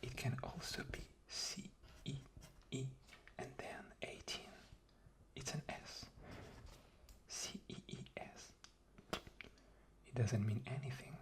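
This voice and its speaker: A man whispering an ASMR demonstration, explaining patiently and haltingly with repetition. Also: mid-range voice. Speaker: guest ASMR performer.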